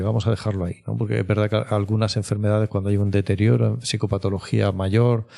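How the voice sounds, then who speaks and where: Low, mellow-voiced Spanish man explaining. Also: vocal fry. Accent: Spain. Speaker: psychology expert guest. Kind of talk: explanatory interview talk.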